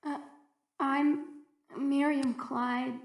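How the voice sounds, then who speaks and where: A woman's voice: high-pitched, level tone, very slow, exaggerated voice. A woman playing a frightened, isolated researcher in an audio drama, stammering and hesitating anxiously as she records a log. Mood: uneasy, scared. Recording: echoey roomy recording.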